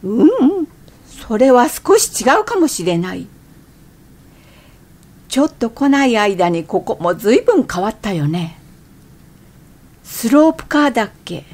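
An irritable middle-aged woman out hiking in a radio drama. Her voice sits a little high and moves widely in pitch. She tells her inner thoughts in an expressive, theatrical way. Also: unhurried, long pauses, full character voice. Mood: animated. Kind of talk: Japanese radio drama acting and narration.

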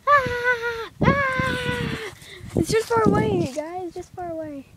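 Young girl voicing toy pets on a backyard playground; slow, high, animated delivery; phone-recorded with audible background noise. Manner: excited squeals, exaggerated character voices. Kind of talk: playful toy play acting. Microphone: handheld phone camera.

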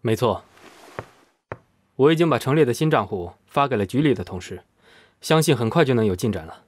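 男声演绎沉稳干练的警官，录音干净. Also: male voice, mid-range voice, expressive, no echo, clean studio sound, lightly acted. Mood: businesslike. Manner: measured, official, explanatory. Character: courteous, businesslike male police officer. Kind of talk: Chinese audio drama acting.

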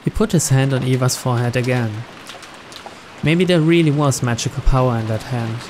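A man's voice: mid-range voice, fairly quick, a little mellow, slight background noise. A man reading visual novel narration aloud in a storytelling style. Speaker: narrator, let's player.

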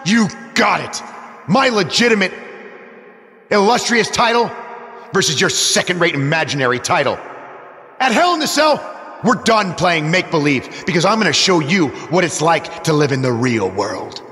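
High-pitched, arrogant wrestling champion, echoing arena. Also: male voice, sing-song, normal speed, bright, forceful tone, exaggerated voice. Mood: scornful, angry. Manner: sneering, theatrical, emphatic. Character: arrogant, indignant male wrestling champion cutting a promo. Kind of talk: wrestling promo in a video game.

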